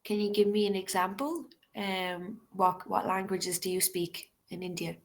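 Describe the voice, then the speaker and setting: A woman's voice: mid-range voice, steady tone, moderate pace. A woman tutoring English over a video call, asking short questions and prompting her student. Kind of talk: casual conversation practice.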